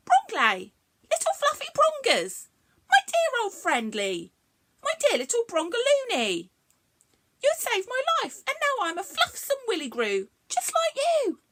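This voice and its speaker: A woman doing a squeaky greedy little creature. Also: very animated, slow, bright, forceful tone, slight background noise, exaggerated voice. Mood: affectionate. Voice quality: high-pitched and squeaky. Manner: wailing and dramatic. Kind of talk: storybook read-aloud.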